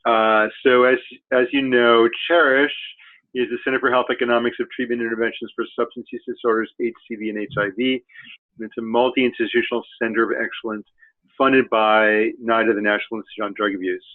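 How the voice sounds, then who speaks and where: A man hosting a webinar, introducing the speakers in a halting way with many fillers. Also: medium pitch, flat delivery. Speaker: webinar host. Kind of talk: hesitant spoken introduction. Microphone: conference call. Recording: tinny phone-line audio.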